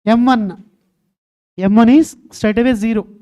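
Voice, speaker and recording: Mellow-voiced woman teaching. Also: on the high side, fairly even intonation, a little slow. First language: Telugu. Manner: keeps quizzing students, calling them "ma". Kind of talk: online exam-prep lecture.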